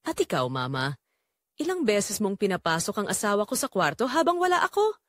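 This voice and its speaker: A woman's voice: medium pitch, big pitch swings, brisk, dry recording, studio quality, lightly acted. A woman voicing an anguished, betrayed adult daughter in an audio drama, emotional, confrontational and accusing. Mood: hurt, angry.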